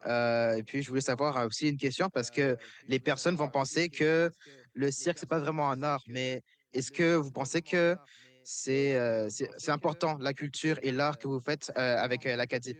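A man interviewing performers on radio, asking halting, hesitant questions. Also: medium pitch, fairly even intonation.

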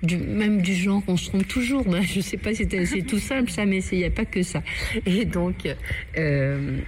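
A woman's voice: mid-range voice, moderate pace, darkish tone, slight background noise. A woman interviewing guests in a casual, chatty way, often trailing off mid-sentence. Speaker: radio interviewer.

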